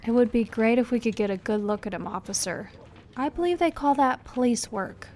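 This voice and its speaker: A demanding police boss played by a woman in an audio drama. Her voice sits a little high, and she is brisk, sarcastic and in command. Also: a little mellow, slight background noise, close to an everyday voice. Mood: impatient, caustic. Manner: commanding, caustic.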